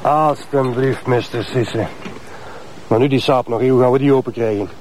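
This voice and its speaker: Man acting a chatty Flemish burglar, medium pitch. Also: steady tone, moderate pace, background noise, close to an everyday voice. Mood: chatty, eager. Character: chatty, nagging junior burglar. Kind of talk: TV crime drama dialogue.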